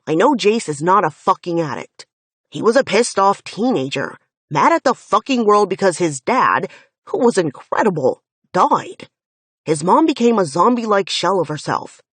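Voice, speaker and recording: A woman's voice: on the low side, a little mellow, slightly rough, dry recording. A woman reading an audiobook voices an angry, protective young man who swears and presses every word with intensity.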